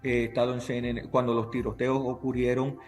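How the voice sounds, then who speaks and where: A man's voice: mid-range voice, level tone, a little fast, faint music behind. A middle-aged man, a native English speaker talking in Spanish, giving earnest, emphatic interview answers that repeat phrases as he lists points.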